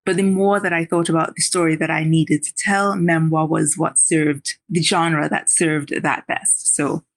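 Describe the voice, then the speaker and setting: A woman's voice: deep voice, flat delivery, vocal fry. Reflective Trinidadian woman. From Trinidad and Tobago. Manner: reflective, pausing with sighs and laughs. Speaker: guest memoirist. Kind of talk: candid interview conversation.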